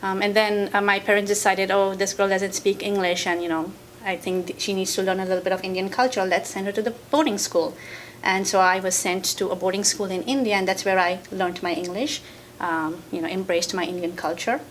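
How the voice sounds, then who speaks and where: Japanese-raised woman, measured storyteller. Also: medium pitch, flat delivery, a little hiss or hum, poor audio. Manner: thoughtful, measured storytelling with soft laughs. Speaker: guest, Reiki practitioner. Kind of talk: casual interview.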